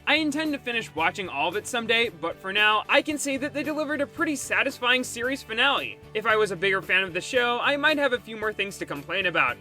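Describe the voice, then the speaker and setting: High-pitched man narrating a scripted review. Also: bright, forceful tone. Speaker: host reviewing cartoon episodes.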